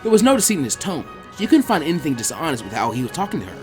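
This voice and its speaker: Fast, animated male story narrator. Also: fairly high pitch, faint music behind. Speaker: fan fiction narrator.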